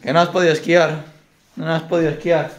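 A man in his thirties with a Castilian Spanish accent vlogs in an informal, expressive way. His voice sits a little high. The handheld recording carries light noise. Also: brisk. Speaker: vlogger. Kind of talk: casual vlog narration and chat. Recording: noisy handheld on-location recording.

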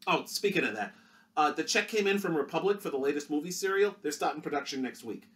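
A man's voice: fairly high pitch, lively intonation, fast, fairly bright tone, voice pushed up. A man voicing a sassy young woman secretary of the 1930s, breezy and slangy.

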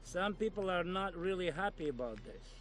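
Brash high-voiced Russian gangster. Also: male voice, expressive, faint music behind. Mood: mock grievance. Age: middle-aged. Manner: boisterous, teasing, drawn-out delivery. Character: brash, foul-mouthed, jovial Russian male gangster. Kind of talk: live-action game cutscene acting.

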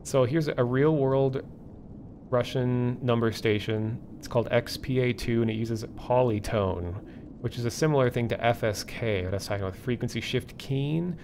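A man hosting a livestream, explaining things casually and off the cuff in a halting, thinking-aloud way. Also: mid-range voice, darkish tone, slight background noise. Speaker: livestream host. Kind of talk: casual live explainer, unscripted.

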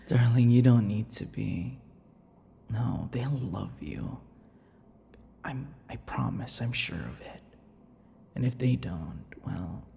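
A man voicing an obsessive, menacing, centuries-old vampire lover in an ASMR roleplay, breathy and dramatic, often dropping into whispers. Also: medium pitch, mellow. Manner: slow, dramatic, swinging between tender and unhinged. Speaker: audio roleplay performer. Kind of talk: ASMR boyfriend roleplay acting. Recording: echoey long reverb, faint noise.

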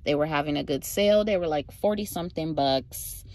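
A young woman chatting casually to her phone camera from inside a parked car, relaxed and full of fillers, with a lilt. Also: deep voice, a little fast, no echo. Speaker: vlogger. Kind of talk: casual vlog talk to camera. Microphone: phone camera at arm's length.

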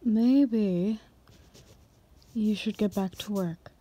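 Woman playing a slow-talking, teasing female boss. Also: mid-range voice, mellow, husky. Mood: teasing, seductive. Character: confident, teasing female boss confessing to an employee. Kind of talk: ASMR roleplay.

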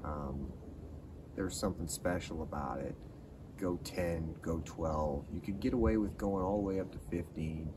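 A man's voice: deep voice, measured pace. A middle-aged man hosting a fishing channel, reviewing a lure to camera in a casual, rambling, enthusiastic way while sitting outdoors in a pine forest. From California. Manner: relaxed, rambling, enthusiastic about gear. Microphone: camera at a distance. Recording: distant outdoor mic with background noise.

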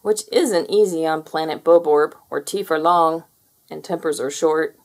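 Woman, children's read-aloud, slightly low pitch, plenty of vocal fry, storybook narration. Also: measured pace. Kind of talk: picture book read-aloud.

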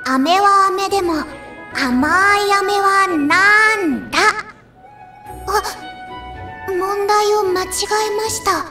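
A woman playing a quiet, quirky teenage idol in an anime audio drama, with a deadpan, measured and halting delivery. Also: very high-pitched, far from the mic, soft background music, heavily acted.

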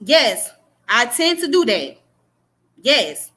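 Southern lady in her 30s on a webcam stream, preachy, high bright voice, small room. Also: big pitch swings, slow. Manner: emphatic, preachy, keeps checking in with "okay?". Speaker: livestream history host. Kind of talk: casual livestream lecture. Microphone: webcam close up.

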